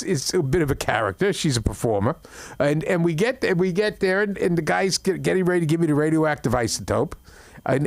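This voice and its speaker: A man's voice: high voice, gravelly, no echo. Rambling middle-aged man doing stand-up. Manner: rambling, repeats words and restarts, deadpan complaints. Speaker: stand-up comedian. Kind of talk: open mic stand-up set. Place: comedy club open mic.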